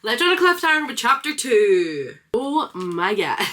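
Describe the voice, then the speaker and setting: A woman's voice: on the high side, sing-song, a little slow, bright, forceful tone, slight background noise. A woman giving casual, enthusiastic read-along reactions to a book, speaking informally and expressively. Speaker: book reviewer and vlogger.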